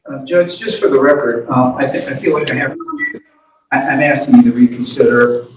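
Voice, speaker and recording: Distant, echoey man arguing haltingly in court. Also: mid-range voice. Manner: hesitant, repeats words, deferential. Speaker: defense attorney. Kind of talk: formal but halting courtroom argument. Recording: echoey courtroom, distant mic, background noise.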